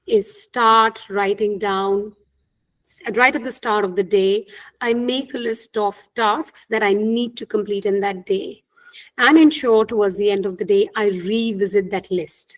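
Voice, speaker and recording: A woman's voice: medium pitch, low-quality recording. A middle-aged woman with an Indian accent, a native Hindi speaker, coaching people on job interviews in an earnest webinar talk, often checking in with "right?". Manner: earnest, frequent "right?" tag questions.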